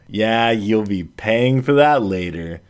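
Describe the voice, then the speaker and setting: A man's voice: on the low side, lively intonation, slow, clear, resonant tone. A man voicing a wry, curious adult female retriever in an audiobook, with a dry, teasing manner.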